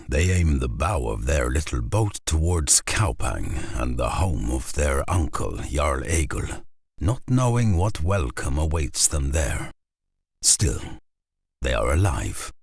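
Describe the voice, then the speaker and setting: A man playing a grave storyteller, narrating a Viking saga in a solemn, measured, gravelly voice. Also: a bass voice, dry recording, studio quality, heavily acted.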